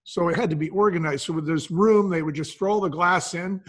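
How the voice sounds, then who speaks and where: A man whose voice sits somewhat high. He talks as an interview guest, sharing anecdotes in a conversational style. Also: fairly quick. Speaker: podcast guest, entrepreneur.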